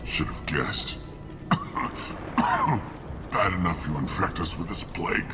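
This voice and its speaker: An actor playing a dying, plague-stricken male alien in a video game, bitter and contemptuous, weak and halting with sickness. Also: low voice, lively intonation, recorded from a distance, background noise. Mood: bitter, suffering. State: sick, coughing, weak.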